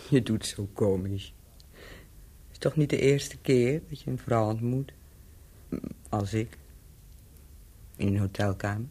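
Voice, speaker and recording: A woman's voice: rumbling low, dark tone, slightly rough, full character voice. A woman acting a worldly, mocking hotel owner in a radio play, teasing and sardonic in a theatrical way.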